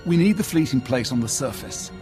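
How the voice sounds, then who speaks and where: Casting: male actor as haughty, impatient military commander, clipped and authoritative, medium pitch, sci-fi game with faint score underneath. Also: lively intonation, a little fast, dark tone, faint music behind, natural voice. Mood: briskly commanding. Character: brusque, commanding Imperial military officer, proud and impatient. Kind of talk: video game cutscene acting.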